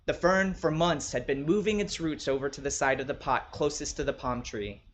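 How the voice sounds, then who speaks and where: Higher-voiced man narrating a short story. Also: normal speed, a little hiss or hum. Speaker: author reading his own short story.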